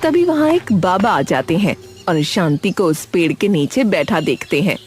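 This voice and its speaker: Expressive woman narrating a story. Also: on the low side, moderate pace. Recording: music under the voice.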